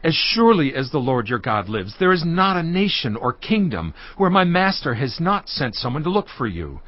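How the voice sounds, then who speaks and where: A man's voice: fairly high pitch, very animated, moderate pace, dry recording, muffled recording. A man playing a frightened, devout palace servant who protests and pleads in a dramatised audio Bible. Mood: fearful protest.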